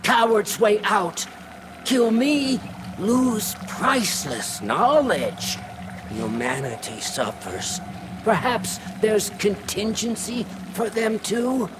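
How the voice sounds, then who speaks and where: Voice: mid-range voice, big pitch swings, slow pace, noisy background. An actor playing a scheming, needy worm creature in a video game, theatrical and wheedling, speaking in clipped broken phrases. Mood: sly, wheedling. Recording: loud music underneath. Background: music.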